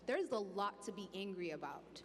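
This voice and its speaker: A woman's voice: mid-range voice, animated, brisk. Young woman, from Congo, earnest panelist, hall reverb, faint hum behind. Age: young adult. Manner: earnest, outspoken, with restarts. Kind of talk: panel discussion. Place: large event hall stage. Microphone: handheld mic.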